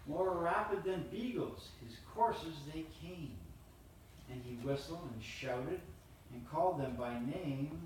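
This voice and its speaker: A man reading a rhyming holiday poem aloud in a steady, measured way. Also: on the high side, a little hiss or hum. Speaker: storyteller reading a Christmas poem. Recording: roomy, distant mic, faint ambience.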